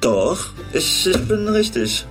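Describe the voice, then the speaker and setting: A man's voice: high voice, big pitch swings, slow, over music. A man co-hosting a morning radio show, chatting animatedly and laughing easily. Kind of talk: casual joking radio chat.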